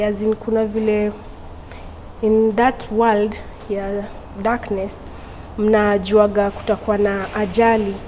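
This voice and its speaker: A young woman, giving a halting personal testimony as an interview guest in a studio, talking through a lapel mic. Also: medium pitch, darkish tone, in a large hall, slight background noise, low-quality recording. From Tanzania. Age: young adult. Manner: halting, with frequent restarts. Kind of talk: personal testimony, mixing Swahili and English. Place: indoor studio.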